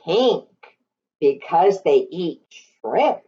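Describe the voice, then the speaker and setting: Very slow, lively woman reading to children. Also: lowish voice, muffled recording. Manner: sing-song, drawn-out reading with questions to young listeners. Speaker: teacher reading picture books aloud. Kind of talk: read-aloud storytime for young children.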